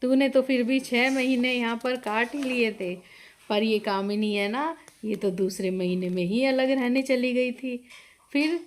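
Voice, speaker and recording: A woman voicing a patient, wise older mother-in-law in a moral story, reassuring and gently preaching. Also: on the high side, steady tone, unhurried, lightly acted.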